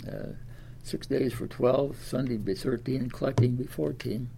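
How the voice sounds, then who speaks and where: Old guy, 90, from iowa, gravelly and mellow, rambling oral history talk with lots of uhs. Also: medium pitch, fairly even intonation, moderate pace, a little hiss or hum. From Des Moines, Iowa. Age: 90s. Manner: rambling, many uh fillers and restarts. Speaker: interviewee.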